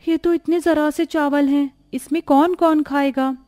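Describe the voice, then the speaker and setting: A woman voicing a hungry, excitable young girl in a cartoon family drama, eager and exclaiming. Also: high-pitched, unhurried, pitched above the performer's own voice. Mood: hungry, insistent. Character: hungry, excitable young girls, poor sisters-in-law.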